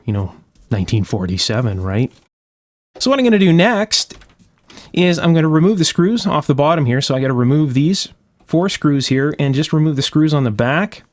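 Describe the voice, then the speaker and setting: A man's voice: medium pitch, dry recording. A man giving hands-on instructional commentary in a conversational, step-by-step way, as host of a repair show.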